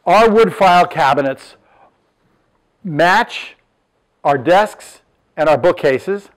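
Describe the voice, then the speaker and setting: A man's voice: fairly high pitch, expressive, takes it slowly, poor audio. Older man presenting showroom furniture. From Southern California. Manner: plain, matter-of-fact, lists measurements with fillers. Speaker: furniture business owner. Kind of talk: product presentation.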